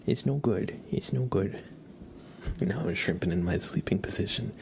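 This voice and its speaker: A soft-spoken man hosting a live ASMR stream, chatting casually with viewers and often dropping into whispers, close to the microphone. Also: sits low, moderate pace, vocal fry, a little hiss or hum. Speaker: ASMR livestreamer, host.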